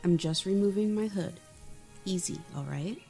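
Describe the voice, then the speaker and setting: An actor playing a calm young male prince who speaks in a dry, measured way. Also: high voice, creaky, soft background music. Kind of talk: audio drama acting.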